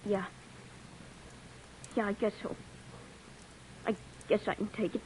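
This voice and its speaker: A woman's voice: medium pitch, steady tone, slow pace, a little mellow, a little hiss or hum, lightly acted, poor audio. A woman voicing a tough but frightened teenage gang boy who talks in streetwise slang, in 1940s radio acting style. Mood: scared. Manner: streetwise slang, sneering then pleading.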